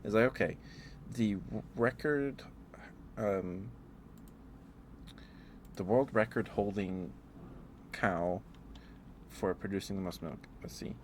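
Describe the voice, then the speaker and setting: Really deep voiced guy doing rambly asmr stream chat, halting and thinking out loud, bit of background noise. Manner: halting, musing, thinking aloud. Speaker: livestreamer.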